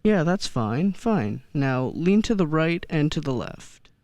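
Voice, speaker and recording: An actor playing a kindly old Santa figure in a radio play, gentle and hesitant, often repeating words. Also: medium pitch, very animated, slow pace, dry recording. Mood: encouraging. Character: kindly old man who believes he is Santa. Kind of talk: stage-style radio play acting.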